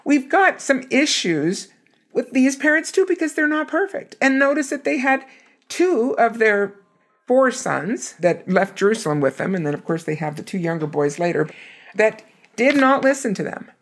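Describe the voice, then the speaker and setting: A hoarse woman giving an earnest, emphatic scripture lesson. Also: fairly high pitch, very animated, normal speed. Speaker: scripture teacher.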